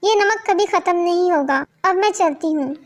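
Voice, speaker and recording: A woman voicing a kind magical female fairy bird in a cartoon, gently explaining things. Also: very high-pitched, moderate pace. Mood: kindly. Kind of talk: cartoon story character acting.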